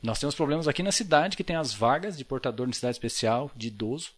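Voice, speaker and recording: A man's voice: medium pitch, animated, fast. A Brazilian man speaking Portuguese with a Brazilian accent, a podcast guest talking earnestly and reflectively, often ending thoughts with a tag question. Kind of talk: casual podcast conversation.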